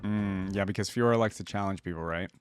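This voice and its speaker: A man's voice: low voice, brisk, soft background music. A man hosting a reaction video with casual, conversational commentary, thinking aloud as he goes.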